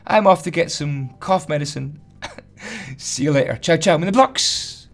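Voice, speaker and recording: Hoarse man with a higher voice. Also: animated, a little slow. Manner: chatty, self-deprecating, trips over words. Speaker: Minecraft building tutorial host. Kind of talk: casual tutorial voice-over.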